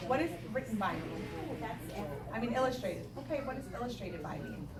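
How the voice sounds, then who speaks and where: Animated, high-voiced woman teaching in echoey room. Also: fairly quick, over a noisy crowd. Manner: instructional, questioning, repeating children's answers. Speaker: elementary school teacher. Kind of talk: read-aloud lesson to young children with questions. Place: classroom with young children. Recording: echoey room, distant mic, noisy background.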